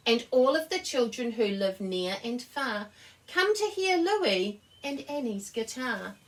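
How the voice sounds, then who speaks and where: A woman reading a children's picture book aloud as an expressive storyteller. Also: a little high, measured pace, far from the mic, rough recording. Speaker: story reader.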